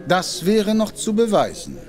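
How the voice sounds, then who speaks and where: A proud, protective detective in an audio drama. He speaks emphatically, his fairly high voice rising and falling widely, and turns indignant easily. Faint music plays beneath. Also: huge pitch swings, unhurried, full character voice. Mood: indignant, lively. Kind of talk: German audio drama acting. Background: faint background score.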